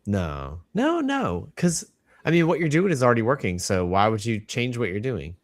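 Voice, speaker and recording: A man's voice: medium pitch, very animated, normal speed. A man co-hosting a casual livestream chat, agreeable and encouraging toward his partner. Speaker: podcast co-host.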